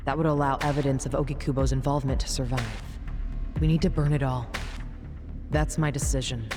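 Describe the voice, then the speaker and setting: An actress playing a proud, resolute female crime boss in a video game dub, speaking in a formal, measured and commanding way. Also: rumbling low, fairly even intonation, music in the background. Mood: grave, decisive. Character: proud, resolute female underworld boss giving grave orders.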